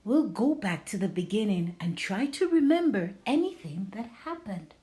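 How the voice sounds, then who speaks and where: A woman voicing a patient, gently coaxing mother in a storybook, encouraging and a little mysterious. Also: mid-range voice, big pitch swings, normal speed, close to an everyday voice. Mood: instructing. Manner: encouraging, reassuring.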